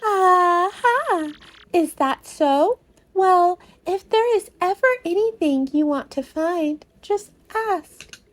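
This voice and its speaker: A woman puts on a grand mystical fortune teller from a fantasy game. Her voice floats very high, shifted up, with theatrical formal phrasing delivered slowly. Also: animated, exaggerated voice. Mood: gracious.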